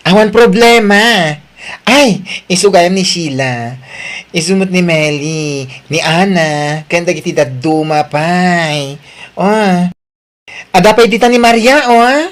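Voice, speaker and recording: A man's voice: high-pitched, animated, slow, fairly bright tone, heavily acted. A man playing a hearty, welcoming colleague in an audio drama. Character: hearty male colleague welcoming new friends.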